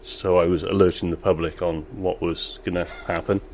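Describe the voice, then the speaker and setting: A man who owns a small brewery, chatting in an interview with a relaxed, self-deprecating manner and frequent laughter. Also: sits low, moderate pace, slight background noise. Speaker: brewery owner. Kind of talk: casual interview chat.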